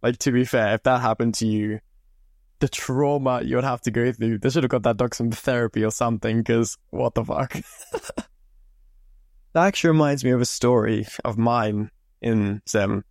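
A man's voice: medium pitch, steady tone, moderate pace, a little mellow, no echo, clean studio sound. Young man from Zimbabwe, chatty podcast host. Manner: chatty, loose, swears freely. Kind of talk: casual storytelling monologue.

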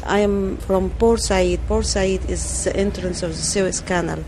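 Slow-speaking older Egyptian woman. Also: medium pitch, steady tone, a little mellow. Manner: slow, deliberate recollection. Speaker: interviewee. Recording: music bed under voice.

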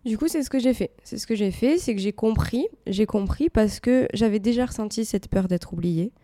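A woman's voice: fairly high pitch, normal speed, warm tone, no echo, studio quality. A woman podcast host with a stuffy head cold, musing aloud in a casual personal monologue with many hesitations. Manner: reflective, rambling, many hesitations. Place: indoors, air-conditioned room.

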